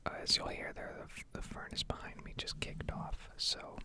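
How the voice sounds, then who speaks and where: A woman whispering and speaking very softly in a hesitant, unhurried ASMR ramble, recorded at home on a desktop microphone. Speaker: streamer making ASMR gaming videos. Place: indoor home setup. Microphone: Blue Yeti desktop microphone.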